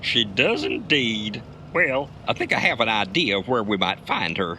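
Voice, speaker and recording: Folksy male trucker sleuth, bright and forceful, very animated, wisecracking audio drama delivery. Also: lowish voice, normal speed, a little hiss or hum. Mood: wry, folksy. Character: folksy tough male trucker and amateur detective. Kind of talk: comedic audio drama acting.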